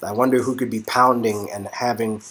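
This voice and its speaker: Husky man narrating a story game. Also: on the low side, steady tone, brisk, fairly bright tone. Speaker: co-host, narrator. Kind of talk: playthrough narration with casual banter. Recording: music in background.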